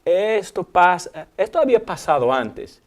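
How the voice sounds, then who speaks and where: A man with an Alabama accent, a native English speaker, teaching a Bible lesson in Spanish with lively questions and playful sound effects. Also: sits high, measured pace. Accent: American English (Alabama). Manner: animated, asks questions, uses sound effects. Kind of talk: Bible study discussion.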